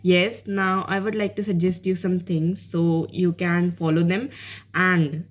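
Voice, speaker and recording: A woman's voice: fairly low pitch, flat delivery, a little slow. A woman with an Indian accent whose first language is Hindi, giving casual spoken learning tips as a channel host in a rambling way full of fillers, slipping between English and Hindi. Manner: rambling, with many fillers and restarts.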